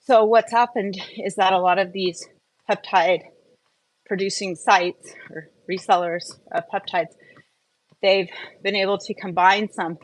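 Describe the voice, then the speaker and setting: A woman talks on her own in a casual, explanatory style, like a host updating followers. She is conversational and goes step by step, often posing a question and then answering it. Her pitch sits in a middle range for a woman. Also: fairly even intonation, unhurried, poor audio. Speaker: host of a wellness audio update. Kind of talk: casual explanatory solo talk.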